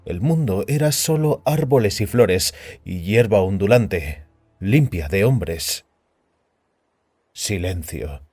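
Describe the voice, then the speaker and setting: A man narrating an audio story in a scripted, dramatic style with a measured, solemn storyteller's delivery. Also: fairly low pitch, darkish tone. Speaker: narrator and host of an audio fiction show. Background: background music.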